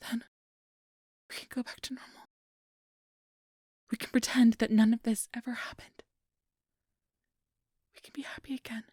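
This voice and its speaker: Casting: woman to play possessive female fae for ASMR roleplay, imperious, breathy and whispery, close dry sound. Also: a little high, level tone, normal speed, pauses often, darkish tone, no room sound. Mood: rattled, pleading. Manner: whispery, commanding, swinging to pleading outbursts. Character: possessive, manipulative female fairy, imperious and desperate.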